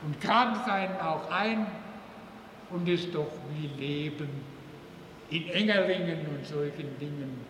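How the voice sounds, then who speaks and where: A man reciting poetic verse with drawn-out, deliberate phrasing. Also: on the high side, a bit bright. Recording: echoey hall, faint noise.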